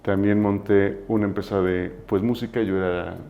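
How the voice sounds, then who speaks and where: A Mexican man in his forties with a Mexican accent, a guest telling his story in a relaxed, self-deprecating way. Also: lowish voice, moderate pace, gravelly, narrow, tinny audio, slight background noise. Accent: Mexican Spanish. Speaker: entrepreneur guest. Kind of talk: casual interview storytelling.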